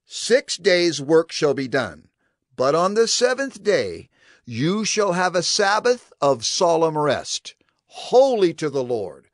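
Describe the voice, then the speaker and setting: An actor playing a male prophet who solemnly instructs his people with deliberate, declamatory phrasing in a dramatized scripture reading. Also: on the high side, big pitch swings, no room sound, clean studio sound. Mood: solemn command.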